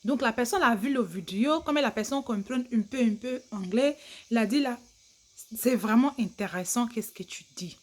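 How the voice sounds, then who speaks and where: A woman's voice: on the high side, normal speed, a little mellow. A woman vlogger, a native English speaker, giving casual advice in broken French with an English-speaking accent, chatty and laughing easily. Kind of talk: casual advice vlog to camera.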